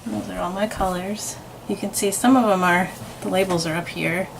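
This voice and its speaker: A woman illustrator talking through a painting demonstration in her studio, thinking aloud and murmuring softly as she works. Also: mid-range voice, steady tone, normal speed, creaky, very noisy. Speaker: author and illustrator.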